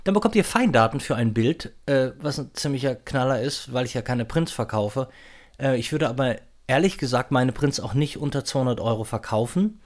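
A male podcast host with a mid-pitched voice speaks off the cuff. He is informal and chatty, feeling his way through a casual announcement with a hesitant, rambling delivery. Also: expressive, normal speed.

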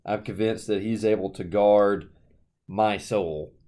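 A man's voice: lowish voice, fairly even intonation, slow pace, dark tone. A man co-hosting a casual Bible discussion, talking earnestly and conversationally with plenty of "you know"s. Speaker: Bible study co-host.

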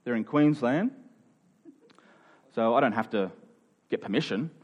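A man in his forties preaching a sermon, earnest and emphatic, sometimes dropping to a quiet voice. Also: medium pitch, lively intonation, measured pace, breathy edge, highs cut off. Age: 40s. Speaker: pastor.

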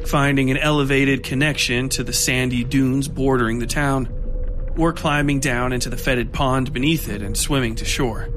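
A man's voice: medium pitch, noisy background. A man narrating scripted horror fiction as a storyteller. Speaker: narrator, podcast host. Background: music bed.

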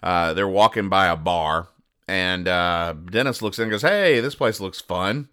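Deadpan male podcast host, fairly low pitch, crisp delivery, explains things very literally. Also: unhurried. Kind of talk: reading and explaining comic strips.

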